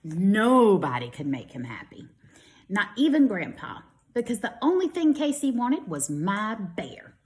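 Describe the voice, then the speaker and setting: Animated American woman reading to young children. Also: mid-range voice, a little slow. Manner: expressive, explains asides simply to children. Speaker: kindergarten teacher.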